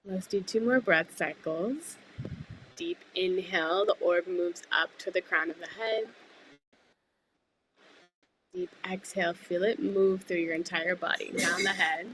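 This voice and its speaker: A woman leading a guided breathwork meditation, speaking gently and soothingly with unhurried pauses. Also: fairly low pitch, slight background noise, low-quality recording. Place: live online group call.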